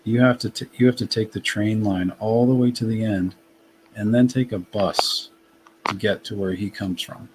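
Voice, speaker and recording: A man's voice: lowish voice, steady tone, normal speed, background noise. Matter-of-fact man, light Massachusetts accent. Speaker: guest. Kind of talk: casual livestream chat.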